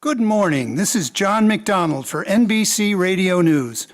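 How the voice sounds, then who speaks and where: A man performs a mid-century radio newsman in a radio play. His voice sits high for a man. He is formal and courteous, putting his questions as a polite interviewer would. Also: animated, a little slow. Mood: courteous, inquiring. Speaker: radio actor. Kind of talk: old-time radio interview acting. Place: live radio theater performance in a church.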